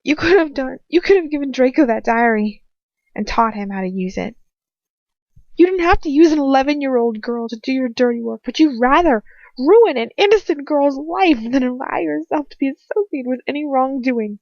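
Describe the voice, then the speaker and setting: A woman's voice: sits high, lively intonation, moderate pace, darkish tone, pitched above the performer's own voice. A woman voicing a furious, anguished teenage girl captive in raw emotional outbursts for an amateur audiobook. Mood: accusing. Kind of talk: amateur fan fiction audiobook acting. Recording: muffled roomy home recording.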